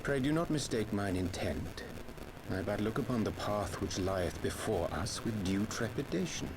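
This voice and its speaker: An actor playing a solemn, scholarly conspirator in a fantasy game, speaking in measured, formal, archaic language. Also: male voice, on the low side, lively intonation, noisy background. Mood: solemn, persuasive. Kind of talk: theatrical fantasy video game acting.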